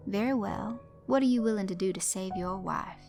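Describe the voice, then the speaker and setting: A woman's voice: medium pitch, normal speed, a little mellow, faint music behind, lightly acted. A woman voicing a formal, ominously polite male master of games who speaks with precise courtesy.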